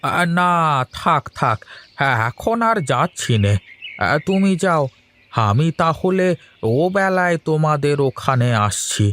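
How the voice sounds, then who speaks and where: Man voicing a high-pitched, eager old village uncle. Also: animated, slow, fairly bright tone. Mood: surprised, chatty. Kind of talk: Bengali cartoon dub acting.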